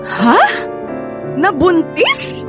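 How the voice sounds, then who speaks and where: A woman's voice: extremely high pitch, huge pitch swings, drawn-out words, heavily acted. An actress with a Filipino accent playing a pregnant young woman betrayed by her partner, emotional and pleading, in a radio drama. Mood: shocked, angry. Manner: emotional, insistent, repeats words and pleads. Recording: dramatic music bed under the voice. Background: music.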